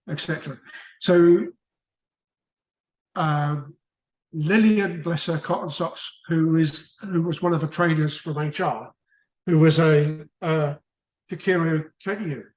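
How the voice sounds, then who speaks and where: A man's voice: high-pitched, reverberant, poor audio. A man from the UK with a British accent, chatting casually in a book club discussion, rambling and often restarting his sentences. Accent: British English.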